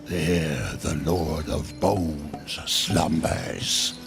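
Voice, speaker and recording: An ancient, sly merchant from another world. His voice is low and creaky, and he takes his time over each line with unctuous, theatrical amusement. Music plays behind him. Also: fairly even intonation, drawn-out words, full character voice. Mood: sly, ominous. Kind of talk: video game character acting.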